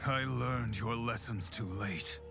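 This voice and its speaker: An actor playing a weary, regretful wandering swordsman in a game, with a gravelly, brooding delivery. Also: medium pitch, slow, sharp tone, faint music behind, heavily acted. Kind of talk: scripted game character lines.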